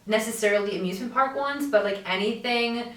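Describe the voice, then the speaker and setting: Woman, young, animated, filler words, echoey room. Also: a little high, fast, recorded from a distance. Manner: animated storytelling, many fillers. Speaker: podcast co-host. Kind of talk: casual chat.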